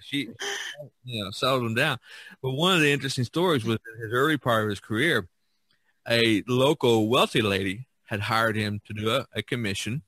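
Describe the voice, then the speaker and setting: Male podcast host, forceful bright tone, close dry sound, casual halting storyteller. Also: mid-range voice, no room sound, poor audio.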